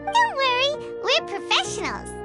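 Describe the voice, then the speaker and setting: A woman voicing a tiny, perky, boastful female companion in a video game, with a squeaky childlike voice. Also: huge pitch swings, measured pace, exaggerated voice. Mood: confident. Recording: loud game music under the voice.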